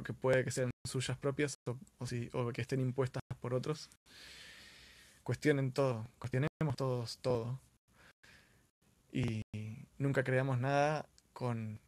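An Argentine man hosts a live stream in a casual, earnest way. His voice has a middle pitch. He speaks informally and leans on repeated words to drive points home. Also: no echo. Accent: Argentine Spanish.

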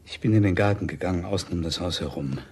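An actor playing a cornered, evasive widower under suspicion in a crime radio drama, measured and defensive. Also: male voice, sits low, a little mellow, slight background noise, lightly acted. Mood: resigned confession. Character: cornered widower, bitter, evasive, confessing suspect.

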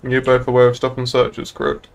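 A man's voice: mid-range voice, monotone, a little fast, clear, resonant tone. A young British man chatting casually and laddishly on a gaming livestream. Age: young adult. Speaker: game streamer. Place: indoor room at a streaming desk.

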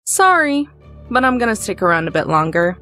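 A woman's voice: medium pitch, very animated, fairly quick, bright, forceful tone. Practical young woman, mid-range voice, dry, blunt, guarded, game dialogue, faint music. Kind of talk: visual novel voice acting.

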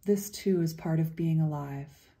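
A woman with a very soft, dark, relaxed voice guides a meditation. Her pitch sits low, and she speaks gently and without hurry, leaving long, soothing pauses between phrases.

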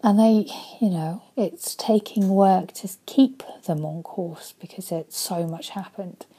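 A woman in her forties, a life coach, speaking earnestly and softly into a webcam in her living room, often dropping to a breathy near-whisper. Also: mid-range voice, slow pace, poor audio. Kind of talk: motivational vlog talk.

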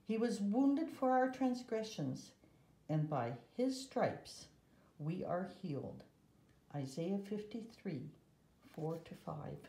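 A mellow-voiced woman reads a children's religious picture book aloud. She goes very slowly and deliberately, leaving pauses between phrases. The sound is roomy and echoing. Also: medium pitch, very animated, a little hiss or hum. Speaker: storybook reader.

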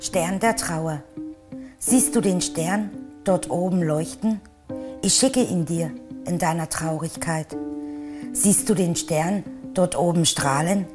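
A woman reading a poem slowly and solemnly. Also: lowish voice, music in the background. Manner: slow, solemn. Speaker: victim's sister reading a poem. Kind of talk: poetry reading.

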